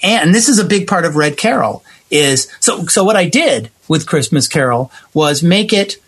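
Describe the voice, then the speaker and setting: A man's voice: on the high side, lively intonation, moderate pace, a bit bright, slightly rough. A man talking in an impassioned, conversational interview style, explaining his ideas emphatically as a guest playwright and director. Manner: emphatic, explanatory, acting out imagined remarks.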